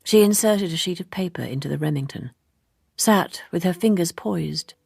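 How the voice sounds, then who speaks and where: A woman with a husky, creaky voice reads literary fiction for radio in a measured, deliberate manner. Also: on the low side, darkish tone, dry recording, studio quality. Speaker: audiobook reader. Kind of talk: scripted literary narration for radio.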